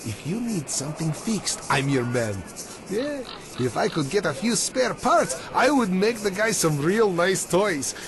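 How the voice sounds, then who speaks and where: A man playing a gruff, griping repairman in a post-apocalyptic video game, talking in a colloquial, grumbling way. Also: sits high, very animated, unhurried, crowd noise, full character voice. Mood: proud, eager. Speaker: mechanic character. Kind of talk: video game character dialogue. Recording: music behind the voice.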